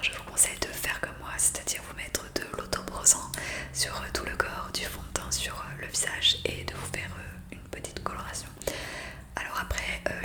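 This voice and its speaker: A woman whispering an improvised ASMR roleplay as a friendly zombie guide, breathy and gentle, explaining hesitantly close to the microphone. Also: a bass voice. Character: friendly young woman zombie welcoming a newcomer. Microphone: close studio condenser microphone.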